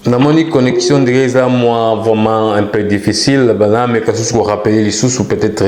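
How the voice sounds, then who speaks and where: Homme à la voix grave, salle résonnante. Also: male voice, fairly low pitch, steady tone, moderate pace, a little mellow, roomy sound. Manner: repeats greetings into the line, mixes French and Lingala. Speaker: web TV host taking callers. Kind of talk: casual call-in show hosting.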